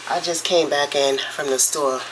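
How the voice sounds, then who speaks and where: A woman's voice: deep voice, steady tone, a bit bright, slight background noise, low-quality recording. Chatty congested woman vlogging her morning. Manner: chatty, rambling, drawn-out storytelling. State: congested with a cold. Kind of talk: casual morning vlog chat. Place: home kitchen, cooking.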